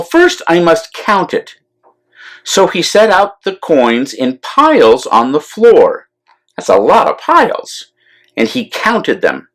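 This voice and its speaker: Male storyteller for a children's read-aloud: slow, deliberate delivery, lively swings in pitch. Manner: deliberate, animated, explanatory asides to young listeners. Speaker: storyteller, priest reading aloud. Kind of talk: expressive children's picture-book read-aloud.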